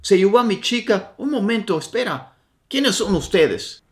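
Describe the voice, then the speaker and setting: A man, teaching English phrases with lively hand gestures in a dim indoor room. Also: high voice, moderate pace. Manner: animated, gestures with his hands. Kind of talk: language lesson, repeating phrases slowly.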